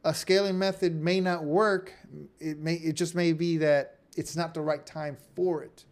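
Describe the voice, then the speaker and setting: Male online course instructor, voice a touch high, conversational tutorial delivery with frequent check-ins. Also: steady tone, measured pace. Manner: conversational, repeatedly checks in with "okay?" and "right?". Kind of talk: informal screen-share tutorial.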